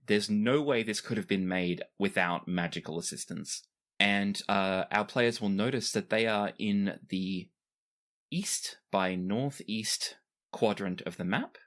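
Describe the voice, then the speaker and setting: A man's voice: fairly low pitch, a bit bright. A man narrating a tabletop game as game master, conversational and explanatory with chatty asides. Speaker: game master of a tabletop role-playing podcast.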